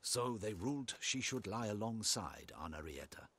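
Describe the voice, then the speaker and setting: An actor playing a solemn, honour-bound knight in a fantasy game, with a raspy voice and formal, courtly phrasing. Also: male voice, lowish voice, animated, normal speed, a bit bright. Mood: bitter. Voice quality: raspy, husky. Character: solemn, honour-bound male knight, loyal to his duchess.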